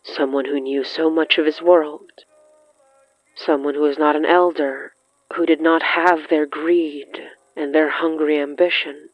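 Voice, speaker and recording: A narrator reading scripted horror fiction in a measured, deliberate way, breaking sentences into short, suspenseful phrases. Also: mid-range voice, steady tone, dry recording, dull audio, faint music behind.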